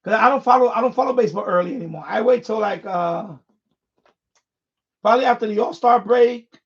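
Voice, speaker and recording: A man hosting a live stream in casual, unscripted chat, speaking haltingly and often repeating words and phrases. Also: high-pitched. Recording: dull, lo-fi microphone sound.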